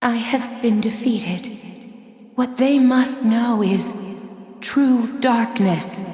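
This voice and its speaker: An actress playing a serene, sorrowful goddess in a video game, stately and solemn, with an ethereal reverb. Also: female voice, a little high, extremely slow, mellow. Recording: long echoing reverb.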